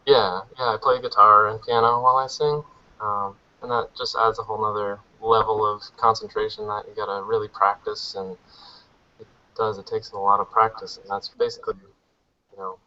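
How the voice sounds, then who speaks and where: Bright-voiced man singer, lo-fi audio. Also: fairly low pitch, brisk. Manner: relaxed, filler-heavy. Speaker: singer and musician. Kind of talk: casual discussion. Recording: dull lo-fi audio.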